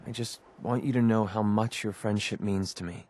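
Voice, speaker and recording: Low-voiced, earnest American mercenary, played by a man. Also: steady tone, moderate pace, darkish tone, lightly acted. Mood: heartfelt. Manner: earnest, hesitant, confiding. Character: weary, sincere young mercenary and grieving widower. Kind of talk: video game dialogue acting.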